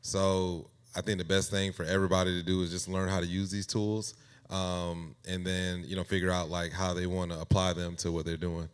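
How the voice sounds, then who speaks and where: A man speaking casually as an interview guest, thinking aloud in a halting, conversational way. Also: low-pitched, level tone, clean tone. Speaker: guest AI music creator.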